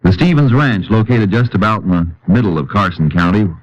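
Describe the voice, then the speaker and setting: A steady, matter-of-fact lawman narrator, very deep. He tells the case in scripted old radio style on a dull vintage recording. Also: brisk.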